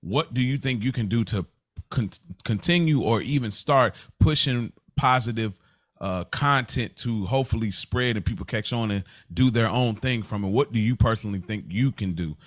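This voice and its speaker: A man hosts a casual podcast in a very dry, close-sounding recording. His pitch is average for a man. He talks emphatically, circling back and repeating phrases to drive each point home. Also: normal speed, no room sound. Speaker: podcast host.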